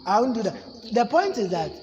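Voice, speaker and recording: A man's voice: sits high, very animated, brisk, darkish tone, a little hiss or hum. Ghanaian man teaching in an echoey room. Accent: Ghanaian English. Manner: emphatic, repeats "you see" and "you get it". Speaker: public speaking coach. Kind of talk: classroom teaching. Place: classroom. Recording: echoey room with long reverb.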